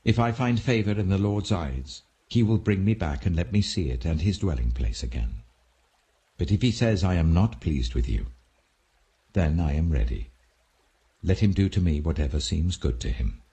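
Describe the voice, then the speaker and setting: Man voicing a deep, grave British old king. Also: expressive, moderate pace, warm tone, husky, full character voice. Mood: resigned, solemn. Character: grave, weary exiled old king, resigned.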